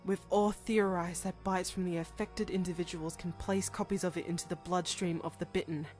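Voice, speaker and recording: A woman's voice: fairly low pitch, steady tone, moderate pace, darkish tone, faint music behind, lightly acted. A woman playing a grave, anxious scientist giving reports in an audio drama. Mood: grim.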